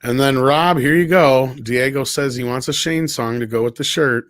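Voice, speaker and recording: A man's voice: medium pitch, normal speed, noisy background. A man co-hosting a livestream, chatting informally and reading out viewer comments, rambling and swearing freely. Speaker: co-host of an online panel show.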